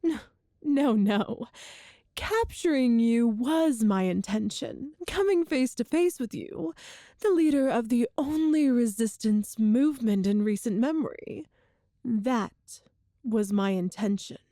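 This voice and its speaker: A woman playing a cruel, seductive empress in a dramatic ASMR roleplay, with haughty, theatrical, deliberate phrasing. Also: on the high side, lively intonation, mellow, dry recording, studio quality. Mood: menacing intrigue. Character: cruel imperious empress, seductive, menacing tyrant.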